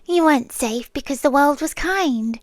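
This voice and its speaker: A woman's voice: high voice, moderate pace, no room sound, close to an everyday voice. A British woman voicing a possessive, tender but menacing winged monster girl in an ASMR roleplay, cooing breathily in half-whispers close to the microphone. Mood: soothing, possessive.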